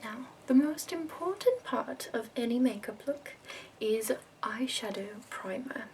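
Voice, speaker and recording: A woman in her twenties voicing a prim, fussy, upbeat woman makeup escort from the capital, in a soft whispered ASMR roleplay. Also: fairly high pitch, animated, a little slow, slight background noise, poor audio. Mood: prim, soothing.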